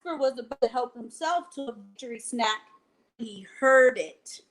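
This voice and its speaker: A woman hosting a bedtime storytime, addressing children directly and reading a picture book aloud with deliberate phrasing. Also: on the high side, expressive, fairly bright tone. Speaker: library storytime host and reader. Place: at home, indoors.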